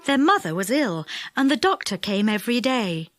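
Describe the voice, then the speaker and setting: High, animated woman reading a children's story. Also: normal speed, soft background music. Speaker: audiobook narrator. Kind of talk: expressive children's story narration.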